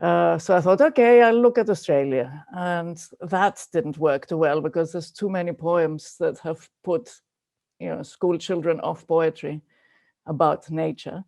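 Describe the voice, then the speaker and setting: A woman talking casually and thoughtfully on a video call, explaining her choices in short, halting phrases. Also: fairly low pitch, creaky, warm tone. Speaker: poetry reader.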